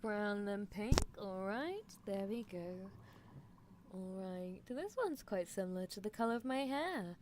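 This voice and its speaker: A woman's voice: medium pitch, expressive, noisy background, natural voice. A woman voicing an eerie, playful mad-scientist horse girl in an ASMR roleplay, teasing and murmuring as she dotes on her test subject. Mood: coaxing, teasing. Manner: teasing, unhurried, murmured musing with hums.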